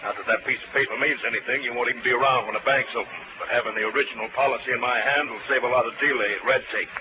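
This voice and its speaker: A man's voice: mid-range voice, steady tone, very fast, close to an everyday voice. A man acting a cold, mocking, gloating murderer in a 1940s radio crime drama. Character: menacing, gloating male murderer holding a revolver. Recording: noisy vintage 1940s radio broadcast.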